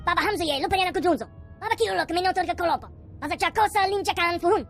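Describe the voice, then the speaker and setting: An actor voicing a small excitable alien creature in a video game, squeaky and babbling in a made-up tongue. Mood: agitated chatter. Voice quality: squeaky, cartoonish. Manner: rapid chattering babble. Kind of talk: video game character acting. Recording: music behind the voice.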